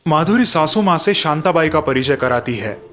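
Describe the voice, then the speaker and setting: Higher-voiced man narrating a cartoon story. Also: lively intonation, moderate pace, music in the background.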